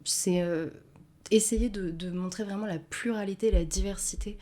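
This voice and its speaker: A woman's voice: mid-range voice, steady tone, normal speed, a little mellow. A woman hosting a podcast interview, speaking hesitantly and searching for her words. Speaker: podcast host. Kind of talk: interview conversation.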